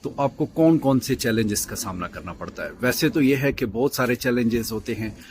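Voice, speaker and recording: A man's voice: medium pitch, brisk, a little mellow, a little hiss or hum. A man giving casual advice as a video host, with many fillers and restarts.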